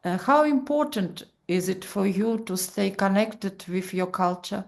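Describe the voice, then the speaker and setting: A woman interviewer asks her guest long questions. Her voice has a gravelly, fry-tinged edge, and she hesitates with frequent fillers. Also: medium pitch, lively intonation, a little slow.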